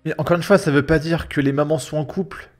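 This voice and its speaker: A man's voice: on the high side, fairly even intonation, quick pace. A young man giving casual, mocking reaction commentary in a slangy, sarcastic, exasperated way. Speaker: YouTube commentator. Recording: music behind the voice. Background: background music.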